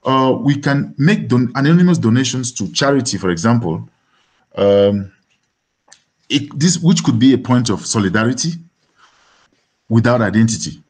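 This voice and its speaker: Husky Cameroonian man giving a lecture. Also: mid-range voice, animated. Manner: halting, deliberate, repeats words. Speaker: academic philosopher, webinar speaker. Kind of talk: academic lecture over slides. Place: dim room indoors, on a video call. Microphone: computer microphone on a video call.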